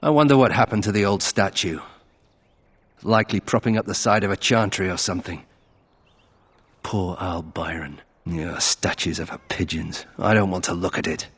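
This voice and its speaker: An actor playing a brooding young nobleman of a disgraced family in a video game, husky, wry and rueful in a conversational way. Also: low-pitched, moderate pace, fairly bright tone. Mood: wry musing. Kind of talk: video game character acting.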